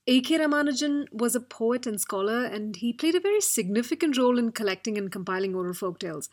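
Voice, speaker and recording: Higher-voiced woman, informative. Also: quick pace. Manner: informative, steady. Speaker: podcast producer. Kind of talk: recommending books on a podcast.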